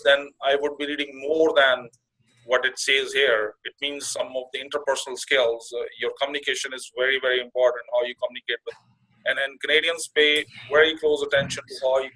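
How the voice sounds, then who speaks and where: Halting man giving an informal walkthrough. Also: medium pitch, pushed and bright, poor audio. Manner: halting, often repeats and restarts phrases. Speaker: career coach presenting to conference call members.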